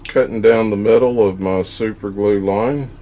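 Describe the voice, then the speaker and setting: A man's voice: on the low side, fairly even intonation, normal speed, dark tone. A man narrating his own boat engine repair in a casual, matter-of-fact way, sometimes muttering to himself. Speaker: boat owner doing repairs.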